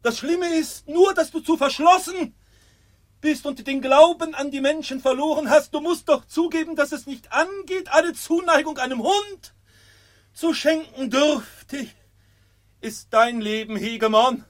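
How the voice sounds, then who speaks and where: An actor playing a gentle, guileless male prisoner and philosopher in a radio drama, speaking earnestly and deliberately, as if pleading. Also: very high-pitched. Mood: earnest reproach.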